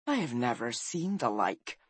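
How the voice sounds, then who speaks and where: A brave clansman voiced by a woman reader in a fantasy audiobook. The voice is low and unhurried, with a grave gentleness and an earnest, measured delivery. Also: lively intonation, no room sound, heavily acted. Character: brave clansman leader, solemn and gentle man.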